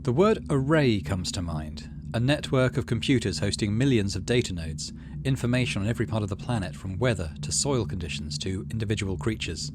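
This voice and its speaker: A middle-aged man doing let's play commentary, muttering asides and reading game narration aloud in a measured storytelling way. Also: fairly low pitch, lively intonation, noisy background. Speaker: gaming video host.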